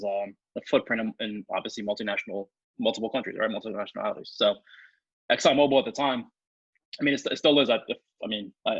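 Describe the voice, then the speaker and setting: A man from Ethiopia, a guest on an interview podcast, talking quickly and casually. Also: lowish voice, a bit bright, slightly rough, no room sound, lo-fi sound. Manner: fast, fluent talker with many fillers and restarts.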